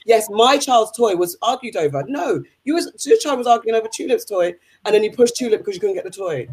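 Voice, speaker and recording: Animated, husky-voiced co-host. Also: medium pitch, fast. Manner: animated storyteller, acts out quoted voices. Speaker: podcast co-host. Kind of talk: casual chat.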